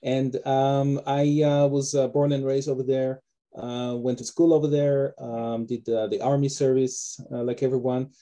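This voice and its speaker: A man from Israel with an Israeli accent, a guest author talking casually on a video call from his home office, with many pauses and fillers. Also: medium pitch, little pitch movement, dark tone. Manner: hesitant, many fillers and restarts. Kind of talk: casual interview talk.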